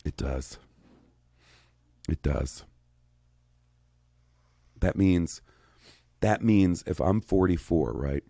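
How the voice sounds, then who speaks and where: Deep-voiced man in his thirties, rambling. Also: very slow, vocal fry, pauses often, no echo. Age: 30s. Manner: rambling, profane, excitable. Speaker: podcast co-host. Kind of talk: casual podcast chat.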